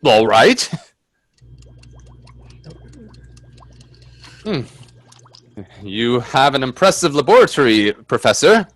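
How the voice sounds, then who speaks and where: Nervous polite gentleman, skeptical, stammers a lot, pitch all over, radio play, dry sound. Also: very animated, measured pace, dry recording. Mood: incredulous. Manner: stammering, nervous chuckles, deferential. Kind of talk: radio play acting with narration.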